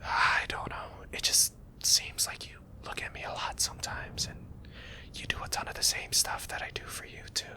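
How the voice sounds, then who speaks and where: A man's voice: moderate pace, bright, forceful tone. A man whispering a tender, hesitant confession as a boyfriend in an ASMR roleplay.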